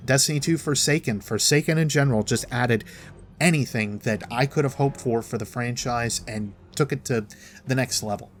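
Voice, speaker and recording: A man's voice: mid-range voice, moderate pace, slight background noise. A man delivering an opinionated, wordy monologue as a gaming commentator, talking in a semi-improvised way. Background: background music.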